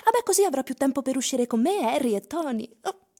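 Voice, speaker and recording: A woman's voice: sits high, lively intonation, fast, darkish tone. An actress playing a self-assured, mysterious female singer in a theatrical radio drama, teasing and coy. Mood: teasing, confident.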